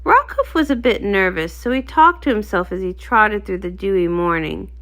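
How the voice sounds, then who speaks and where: A woman reading a storybook aloud as an expressive narrator. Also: mid-range voice, normal speed.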